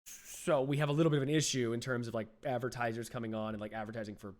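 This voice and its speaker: A young adult man hosting a podcast, chatting loosely, with lots of restarts and fillers and his voice often trailing off quietly. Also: medium pitch, fairly even intonation, fast. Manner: rambling, many restarts and "like", drops to quiet mumbles. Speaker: podcast host. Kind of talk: casual interview chat.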